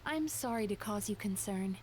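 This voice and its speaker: A woman's voice: mid-range voice, expressive, normal speed, darkish tone, a little hiss or hum, natural voice. A woman voicing a composed, gracious young spirit innkeeper in a video game, polite and poised. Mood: apologetic.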